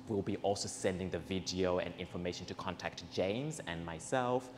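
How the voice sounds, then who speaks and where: A young man from Zimbabwe hosting a community event, speaking earnestly and warmly about gratitude into a handheld mic before a seated audience in a large indoor space. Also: deep voice. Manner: earnest, personal and grateful. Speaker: event host. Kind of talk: speech to a live audience. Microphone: handheld microphone. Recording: reverberant hall, faint background noise.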